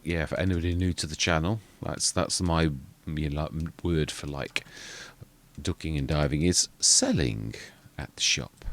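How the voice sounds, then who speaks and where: Very deep-voiced, soft-spoken man. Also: gravelly. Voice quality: quiet voice. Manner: rambling, self-correcting, muttering asides. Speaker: gaming channel host. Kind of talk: casual gameplay commentary.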